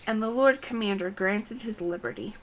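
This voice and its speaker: A woman voicing a resolute female knight commander in a fantasy game, formal and measured as she briefs her troops. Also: medium pitch, a little hiss or hum, lightly acted. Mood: firm. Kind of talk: read-aloud fantasy game dialogue.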